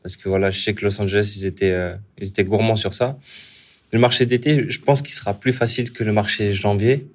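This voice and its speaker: Young guy, soccer player, kinda low voice, chatty and halting. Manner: relaxed, halting, many restarts. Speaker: footballer guest. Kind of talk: casual interview answers.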